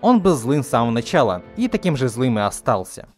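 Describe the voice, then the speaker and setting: A man's voice: mid-range voice, very animated, fast, darkish tone. A young man narrating an opinionated film critique in an argumentative, emphatic way. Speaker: video essayist. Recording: music behind the voice. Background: faint background music.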